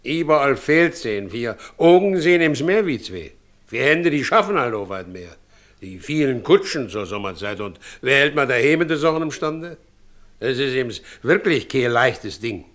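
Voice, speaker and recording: An actor in a German radio play voicing a humble, troubled middle-aged widowed carriage driver, plain-spoken and halting. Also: male voice, mid-range voice, animated, a bit bright, lightly acted. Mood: troubled, resigned.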